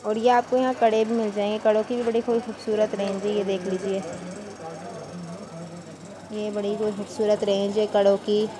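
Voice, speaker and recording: A woman's voice: mid-range voice, little pitch movement, normal speed, pauses often, a crowd in the background. A woman with a Pakistani Urdu accent touring market stalls on camera, chatty and enthusiastic, asking shopkeepers about prices. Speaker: shopping vlogger. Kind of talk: market tour vlog. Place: busy indoor market shops. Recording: market noise behind voice.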